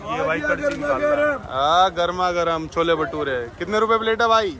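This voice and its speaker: A man's voice: high voice, animated, fairly quick. Chatty young man vlogging in a crowd. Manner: chatty, haggling, talks to camera and friends. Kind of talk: casual market vlog. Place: busy outdoor night market and car. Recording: crowd noise of a street market.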